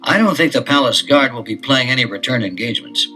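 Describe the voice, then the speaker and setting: An actor playing a calm, authoritative middle-aged detective father in a 1970s record-album drama. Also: medium pitch, quick pace, soft background music.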